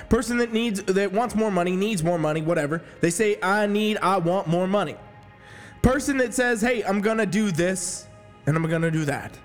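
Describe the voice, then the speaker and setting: A high-pitched man rants like a hype coach, swearing freely and pushing his listeners hard. He sounds a little sniffly from allergies. The voice rings with a long reverb, and faint music sits under it. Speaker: fitness coach, podcast host. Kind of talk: profane motivational podcast rant.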